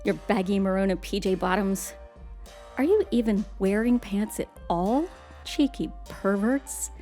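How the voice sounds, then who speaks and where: A woman's voice: medium pitch, a little slow, mellow, soft background music. A woman hosting a horror show in a scripted, tongue-in-cheek, teasing way. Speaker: host.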